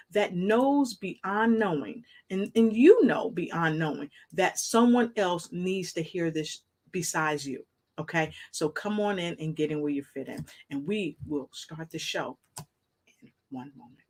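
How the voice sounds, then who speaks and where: A woman hosting an exuberant live online show, full of rhyming catchphrases, repetition and hype, with a husky voice. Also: fairly low pitch, a little mellow. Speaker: talk show host.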